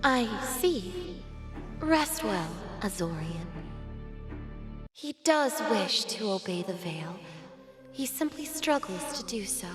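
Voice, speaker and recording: A woman's voice: medium pitch, expressive. A woman playing a pious, restrained alien empress in a video game, speaking formally and eloquently with hidden yearning, over music. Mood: calm authority. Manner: formal, eloquent, measured delivery. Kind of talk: dramatic video game voice acting. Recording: loud music behind the voice.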